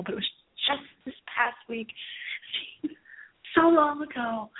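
A woman hosting a live radio show in a rambling, casual, playful way, with theatrical drawn-out asides. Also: on the high side. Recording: thin, phone-like internet broadcast audio.

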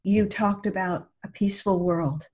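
A woman's voice: mid-range voice, fairly even intonation, measured pace, a little mellow, muffled recording, soft background music. A woman speaking reflectively in a discussion, earnest and thoughtful, often posing rhetorical questions. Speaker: radio show co-host and author.

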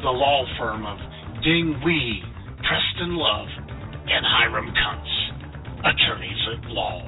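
A man announcing a mock radio advertisement, deliberate, measured and mock-solemn. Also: mid-range voice, lively intonation, gravelly. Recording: dull radio audio over music.

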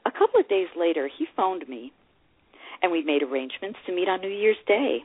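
An actress reading a memoir as a warm-hearted older divorced woman, expressive and measured, narrating a rekindled romance fondly in the first person. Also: on the low side, husky. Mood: fond, composed. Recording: dull, lo-fi radio-like sound.

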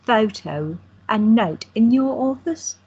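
A woman playing a quiet, teasing photographer in her twenties, trading dry banter with her brother. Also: on the high side, lively intonation, takes it slowly, breathy edge, exaggerated voice. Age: 20s. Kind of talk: amateur audio drama acting. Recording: dull lo-fi audio.

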